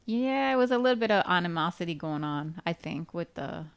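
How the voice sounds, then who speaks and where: Relaxed middle-aged woman, slightly low voice. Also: brisk, smooth. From Trinidad. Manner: relaxed storytelling with frequent laughs. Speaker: mother being interviewed. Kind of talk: casual family chat.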